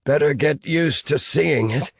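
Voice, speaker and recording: A man's voice: a little high, unhurried, creaky, husky, no echo, voice pushed up, exaggerated voice. Narrator playing a wry, exasperated captive man, somewhat raised voice, dry sound. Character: pale, scheming male captive, dry-witted.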